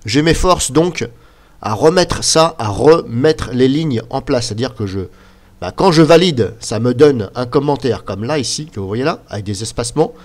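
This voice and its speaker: A man with a bit of a cold talks casually over his screen, explaining as he goes. He rambles, restarts and fills gaps with "bah" and "voilà" while pointing at things. His voice sits at an ordinary middle pitch. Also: expressive, normal speed. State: has a slight cold. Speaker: YouTuber.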